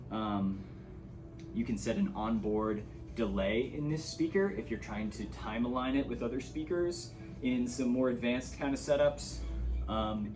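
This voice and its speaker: A man giving a casual, hands-on gear tutorial, explaining things step by step as an instructor. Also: medium pitch.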